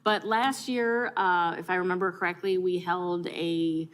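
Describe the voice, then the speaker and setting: Low-pitched American woman, informal. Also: fairly even intonation, a little slow. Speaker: council member. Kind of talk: informal meeting discussion. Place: large council chamber, indoors.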